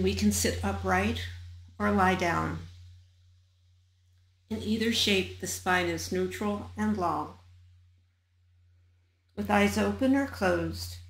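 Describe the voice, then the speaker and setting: A woman guiding a body scan meditation in a calm, measured manner, leaving long pauses between short phrases. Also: medium pitch, vocal fry, roomy sound, a little hiss or hum. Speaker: meditation guide.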